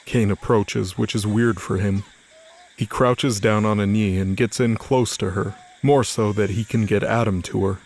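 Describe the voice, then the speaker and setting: A man's voice: on the low side, steady tone, normal speed, gravelly, darkish tone. A man narrating scripted fiction as the storyteller of an audio drama. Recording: faint music under the voice. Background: music.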